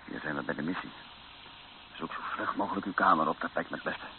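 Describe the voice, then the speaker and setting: Low, gravelly, resigned priest. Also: male voice, quick pace. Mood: bitter, weary. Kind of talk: radio drama acting. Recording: muffled, noisy old radio recording.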